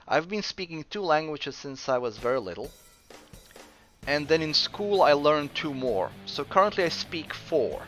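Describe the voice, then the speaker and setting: A man from Croatia with a mixed Croatian accent, chatting casually while streaming a game and answering viewers, with frequent pauses. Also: a little high, big pitch swings, fairly bright tone, soft background music. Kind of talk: casual gameplay commentary.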